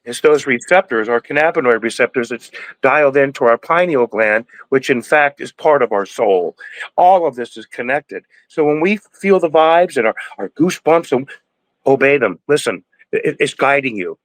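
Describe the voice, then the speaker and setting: A man's voice: medium pitch, lively intonation, normal speed, no echo, poor audio. Rambling man in his sixties, dry close sound. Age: 60s. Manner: rambling, preachy, lots of restarts. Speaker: podcast guest, cannabis breeder. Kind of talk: casual interview talk.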